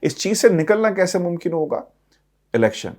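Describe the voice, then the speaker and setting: A man's voice: high voice, very animated, normal speed, darkish tone. Emphatic Pakistani man giving political commentary. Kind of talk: news commentary addressed to viewers.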